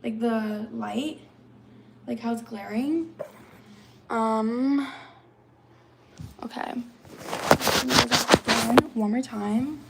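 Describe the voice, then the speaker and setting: Woman, twenties, raspy, gravelly, slightly hoarse, casual livestream chatter, filler-heavy. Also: fairly high pitch, drawn-out words, pauses often, background noise. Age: 20s.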